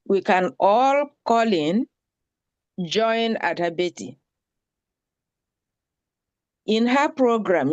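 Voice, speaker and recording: Slow, earnest woman making an appeal. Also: medium pitch, darkish tone, dry recording. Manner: slow, pleading, repeats for emphasis. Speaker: town hall participant. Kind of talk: earnest spoken appeal at an online meeting.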